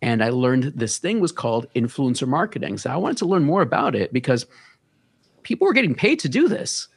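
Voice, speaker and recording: A man. He talks in a loose, easygoing way as a podcast guest and pauses on plenty of fillers as he tells his story. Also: medium pitch, gravelly, husky. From the Bronx, New York. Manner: relaxed, storytelling with many filler words. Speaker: guest, content creator. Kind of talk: casual podcast interview.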